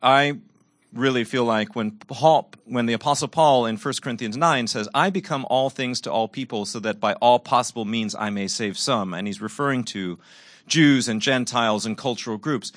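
A man's voice: on the low side, fairly bright tone, no room sound. A man answering as a panel guest, earnest and reflective, often repeating words as he works out his thought.

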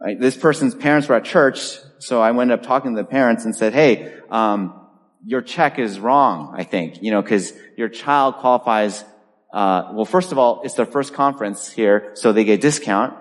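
A man's voice: medium pitch, normal speed, long reverb tail. A man pastor preaching a sermon, driving points home with emphatic repetition and telling a personal story. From Boston area, US. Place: church.

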